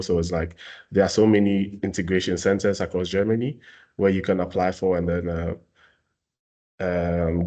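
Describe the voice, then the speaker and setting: Young guy from ghana, really low voice, lots of ums, webinar talk. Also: darkish tone. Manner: hesitant, many fillers. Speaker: talent services staff presenting a webinar. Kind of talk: casual webinar presentation.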